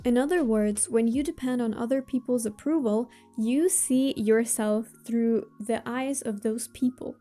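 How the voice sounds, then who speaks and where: A woman with a Russian accent delivers motivational advice in a gently high, mellow voice. Her phrasing is measured and deliberate, with pauses that stress key words. Faint music plays behind her. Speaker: host of a self-improvement channel. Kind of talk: motivational advice talk to viewers.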